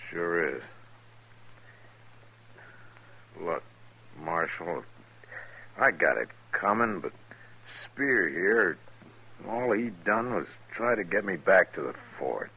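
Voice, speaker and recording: An actor playing a rough, earnest American cavalry soldier in a 1950s radio western, with a rustic way of talking. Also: male voice, deep voice, expressive, slow, sharp tone, heavily acted, rough recording. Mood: earnest, pleading. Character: rough, contrite young cavalry soldier, loyal to his friend.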